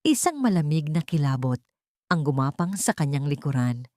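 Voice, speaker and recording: A woman's voice: sits low, very animated, moderate pace, dark tone, dry recording, clean studio sound. A woman narrating a scripted audio story in a dramatic style.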